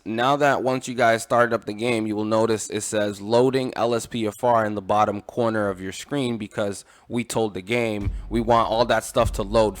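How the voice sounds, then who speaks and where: A man's voice: mid-range voice, a bit bright. A man casually walking viewers through game mods in an informal, instructive way, addressing them as guys. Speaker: gaming tutorial creator.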